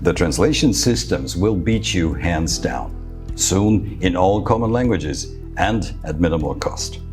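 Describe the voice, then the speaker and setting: A man from Serbia whose first language is Serbo-Croatian, giving a measured, scripted talk as a presenter. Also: deep voice, animated, darkish tone, faint music behind. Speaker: futurist presenter.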